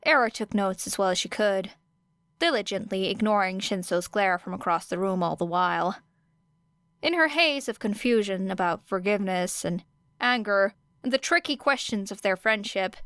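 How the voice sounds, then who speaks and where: A woman narrating an audiobook of a fan fiction story in a steady, even storytelling manner. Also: medium pitch, smooth, dry recording, clean studio sound. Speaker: podfic reader.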